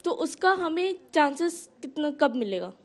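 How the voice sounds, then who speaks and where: Student questioner: young adult woman, high pitch, slightly hesitant delivery from the audience. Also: very animated, unhurried.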